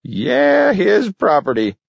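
A man voicing a feisty, folksy old grandfather from a storybook, shrill and crowing. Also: huge pitch swings, slow, vocal fry, no room sound, highs cut off, exaggerated voice. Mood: triumphant.

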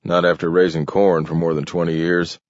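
A man voicing a weary, stubborn middle-aged farmer who speaks in gruff rural dialect. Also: low voice, level tone, moderate pace, dry recording, natural voice. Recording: dull lo-fi recording.

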